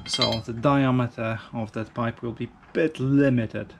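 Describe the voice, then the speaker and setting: Slow-talking man with a gravelly creak. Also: mid-range voice, steady tone, highs cut off, noisy background. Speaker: motorcycle hobbyist, video host. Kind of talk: casual DIY vlog narration.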